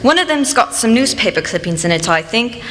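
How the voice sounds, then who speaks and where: A woman's voice: a little high, sing-song, a little fast, fairly bright tone. A woman playing a cheery old village postal carrier in a radio play, recorded in an echoey hall. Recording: echoey hall, faint noise.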